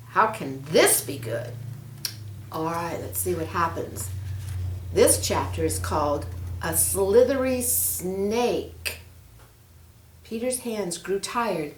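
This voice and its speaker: A woman reading a children's story aloud in an expressive, animated storytelling style, addressing young listeners directly. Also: fairly low pitch, slight background noise. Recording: roomy, echoing room.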